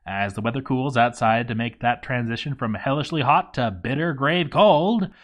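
A man's voice: medium pitch, moderate pace, a bit bright. A man reading a scripted story as narrator, with a wry, sardonic, sweary comic delivery. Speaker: narrator reading a game playthrough log.

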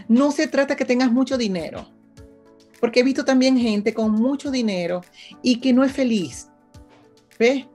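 Venezuelan lady, about fifty, chatty interview guest, keeps asking "ves?", soft music way back behind her. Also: a little high, normal speed, pauses often. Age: 50s. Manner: animated, often checks in with "¿ves?". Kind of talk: casual conversational interview.

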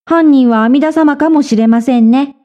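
Woman as a high-voiced cheeky boy monk. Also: normal speed, dark tone, natural voice. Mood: mock innocent. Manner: feigned innocence, playful. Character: clever, cheeky young boy novice monk.

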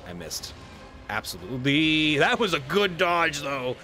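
A man's voice: a little high, sing-song, a little slow, bright, forceful tone, soft background music. Chatty man streaming a game, slightly stuffy. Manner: chatty, rambling, talks to the game monster. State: a little stuffy. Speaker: gaming streamer. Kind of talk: casual gameplay commentary. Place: home room, seated at desk.

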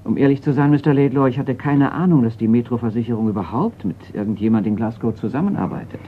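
A man's voice: mid-range voice, quick pace, slight background noise, close to an everyday voice. An actor playing a polite, frank insurance man in a radio crime drama, courteous and slightly stiff. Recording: slightly muffled radio play recording.

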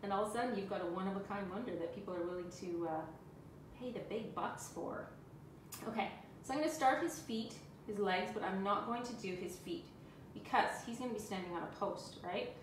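A woman in her thirties leads a live furniture-painting lesson, chatting to her viewers as she works. Her voice has a middle pitch and is caught by a nearby phone in an echoey room. A steady background noise sits under her talk. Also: a little fast. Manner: chatty and encouraging, talks to viewers while working. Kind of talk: live-streamed painting tutorial. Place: room indoors, seated on floor by a cabinet.

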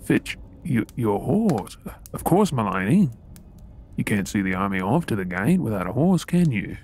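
A man's voice: on the low side, very animated, normal speed, darkish tone, slight background noise. A man with an Australian accent playing a resentful undercover soldier posing as a royal servant in an audio role-play, brooding in asides and formally deferential in address. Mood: polite, servile. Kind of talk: scripted ASMR audio role-play acting.

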